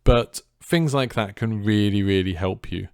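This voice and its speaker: Casting: man, deep clear voice, easygoing delivery, casual creator advice, very dry sound. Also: a little slow, dry recording. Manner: chilled, laid back. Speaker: streamer and content creator giving advice. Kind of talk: casual advice talk to viewers.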